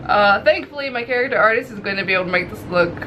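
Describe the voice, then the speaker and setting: A woman chatting casually in a video diary, with an animated, expressive, self-mocking manner and casual swearing. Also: medium pitch, racing, background noise. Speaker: visual novel developer and vlogger. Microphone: recording to camera. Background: background music.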